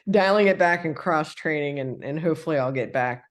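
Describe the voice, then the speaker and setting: A man's voice: on the high side, a little fast. A man in his sixties from the Midwest, hosting a casual interview with a hesitant, filler-heavy way of talking. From American Midwest. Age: 60s. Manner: friendly, hesitant, many fillers and trailing sentences. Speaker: podcast host.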